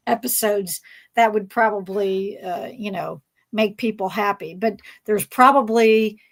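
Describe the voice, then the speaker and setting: A woman's voice: mid-range voice, vocal fry. A woman hosting a casual fan panel, talking in a hesitant, rambling way as she searches for words. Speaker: panel host.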